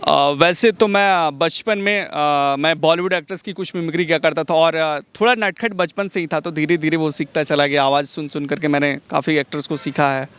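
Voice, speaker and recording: A young man, giving polite, measured interview answers as a mimicry artist. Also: a little high, steady tone, a bit bright, clear, resonant tone. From Jharkhand, India. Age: young adult.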